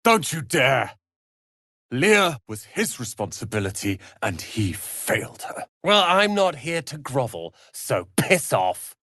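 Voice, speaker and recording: A man voicing a proud, sharp-tongued young tiefling wizard's apprentice in a video game, with haughty, theatrical, biting delivery. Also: medium pitch, big pitch swings, slow, no echo, clean studio sound, heavily acted. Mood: bitter, scornful. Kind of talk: dramatic video game voice acting.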